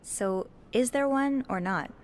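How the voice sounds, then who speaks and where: An actress playing a friendly, inquisitive young hotel maid in a video game, talking casually with a slightly hesitant, teasing manner. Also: female voice, a little high, slow pace, slight background noise. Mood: shy, teasing. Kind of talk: natural conversational game acting.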